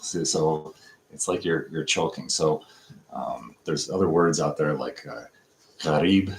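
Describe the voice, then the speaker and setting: A man in his fifties giving a casual, halting practice lesson, breaking phrases down word by word with many pauses and fillers. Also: very deep voice, vocal fry, slight background noise. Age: 50s. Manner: slow, halting explanations with many fillers, breaks words down piece by piece. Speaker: language learner teaching from a textbook dialogue.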